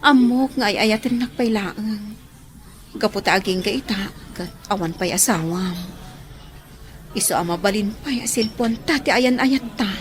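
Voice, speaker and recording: An actress playing Mirna, a remorseful former lover pleading to start again, in a radio drama. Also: female voice, mid-range voice, a little slow, close to an everyday voice.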